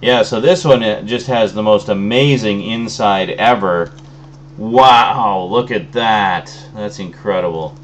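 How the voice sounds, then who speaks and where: A man with a very low voice talks loosely through an unboxing, full of ums and trailing restarts. He sounds a little phlegmy from an oncoming cold. A faint hum sits under the recording. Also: takes it slowly. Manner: relaxed, rambling, many fillers. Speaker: video host. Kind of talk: casual unboxing chat.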